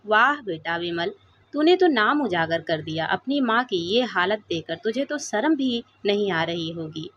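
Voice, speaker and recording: A woman's voice: mid-range voice, expressive, unhurried, a bit bright, slight background noise. Lady reading as a scolding uncle in a moral tale, stern n sarcastic, lots of swing, dull audio. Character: indignant, grieving, scolding middle-aged male doctor uncle. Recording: dull, lo-fi sound.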